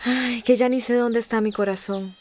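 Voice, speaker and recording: A woman's voice: fairly high pitch, steady tone, moderate pace, darkish tone, a little hiss or hum, lightly acted. An actress playing a tender, homesick young migrant woman in a radio drama, speaking in a sighing, sing-song way. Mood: wistful, uneasy.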